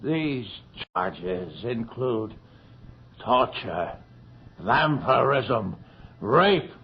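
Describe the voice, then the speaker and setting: A man's voice: mid-range voice, gravelly. An actor playing a haughty, domineering magistrate of the king in a radio horror drama, speaking in formal, pompous phrases. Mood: pompous proclaiming. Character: stern, haughty magistrate of the king, contemptuous of peasants. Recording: dull, noisy old radio recording.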